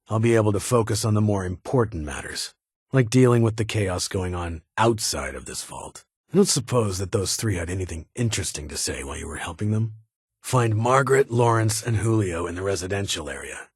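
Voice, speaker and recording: A man's voice: deep voice, slightly rough, no room sound, clean studio sound, heavily acted. A man playing a stern, guarded military security chief in a video game, with a clipped, authoritative and brusque manner. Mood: businesslike. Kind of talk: video game character dialogue.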